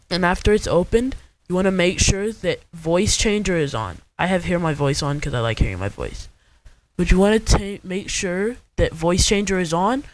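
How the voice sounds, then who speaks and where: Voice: mid-range voice, rough recording. A young adult host giving an informal, step-by-step screen tutorial in a casual way. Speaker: video host.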